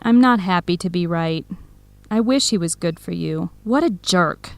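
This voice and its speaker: A woman's voice: lowish voice, normal speed, no echo, close to an everyday voice. A woman playing a supportive, frank young best friend in a radio drama, with a direct, encouraging, conversational manner. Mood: sympathetic. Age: young adult. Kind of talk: scripted radio drama dialogue.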